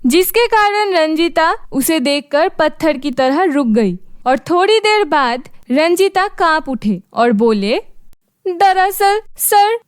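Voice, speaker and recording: Female narrator for children's fairy tales, very high voice, slow delivery. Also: expressive, no echo, clean studio sound. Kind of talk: scripted fairy tale narration.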